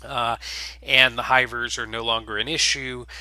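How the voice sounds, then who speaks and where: A man hosting an audio log, talking casually and haltingly, with very frequent uhs. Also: mid-range voice, little pitch movement, pushed and bright, dry recording, low-quality recording. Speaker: host and game creator.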